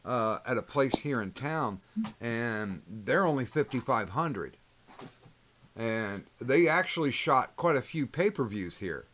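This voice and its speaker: Casual host: loud-voiced man, swears a lot, rambling. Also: mid-range voice, lively intonation, slow, slight background noise. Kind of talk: casual chat.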